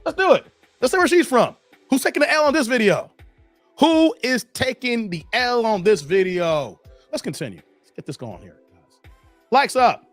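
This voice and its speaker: A hoarse man hosting a casual livestream, slangy and chatty, greeting viewers by name. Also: extremely high pitch, sing-song, normal speed, soft background music. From Chicago area, Illinois. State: hoarse, losing his voice. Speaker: livestream host.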